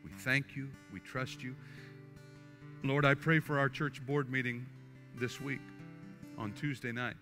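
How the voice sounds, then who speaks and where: An older man, a pastor, preaching and then praying at the altar in a church sanctuary, earnest and halting, often restarting his words. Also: mid-range voice, little pitch movement. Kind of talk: sermon and altar prayer. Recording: faint guitar music underneath. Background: soft guitar music.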